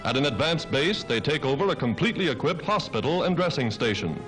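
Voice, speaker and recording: A man's voice: on the high side, big pitch swings, a little fast, a bit bright, soft background music, poor audio. An American man narrating a wartime newsreel in a dramatic, declamatory 1940s style. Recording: vintage 1940s newsreel soundtrack.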